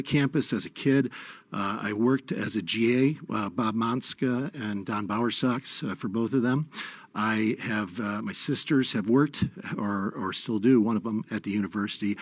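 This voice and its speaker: A middle-aged man with an American Midwestern accent giving an informal, earnest campaign speech in a halting way. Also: medium pitch, breathy edge, dry recording. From Michigan, United States. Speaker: candidate for university trustee.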